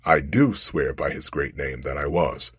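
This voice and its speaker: Man voicing a deep, husky, menacing genie. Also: no room sound, clean studio sound, exaggerated voice. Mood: commanding, solemn. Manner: archaic, commanding, unhurried pronouncements. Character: stern, ungrateful, menacing genie freed from long imprisonment. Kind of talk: storybook character voice.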